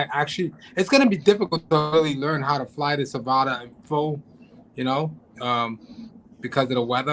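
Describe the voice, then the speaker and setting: A man's voice: fairly high pitch, a bit bright, a little hiss or hum, poor audio. A man talking as a guest on a live drone show, rambling with many restarts and fillers. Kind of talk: casual chat on a live show. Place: home, cold region.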